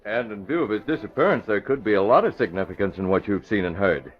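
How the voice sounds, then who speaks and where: An actor playing a businesslike, assured man in charge, giving brisk directions in an old radio drama. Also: fairly low pitch, animated, lightly acted. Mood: decisive. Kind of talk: 1940s radio drama acting. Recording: dull old radio recording.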